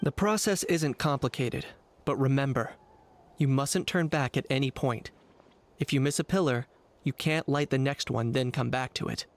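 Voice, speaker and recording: A man voicing a cool, composed young warrior guide in a video game, measured and matter-of-fact. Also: mid-range voice, lightly acted. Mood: calm, instructive. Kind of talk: video game character acting.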